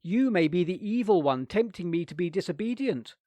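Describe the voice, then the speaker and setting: A man's voice: sits high, lively intonation, fairly quick, dark tone, no room sound, pitched above the performer's own voice. A man voicing a clever, cheeky young boy who speaks with gleeful innocence. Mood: sly.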